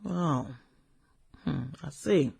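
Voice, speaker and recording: An actress playing a brooding, vengeful woman musing over old classmates in a radio drama, hesitant and husky. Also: sits low, animated, very slow, noisy background, heavily acted. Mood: amused nostalgia. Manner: musing, hesitant, chuckles and hums between thoughts. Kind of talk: radio drama acting, conversational monologue.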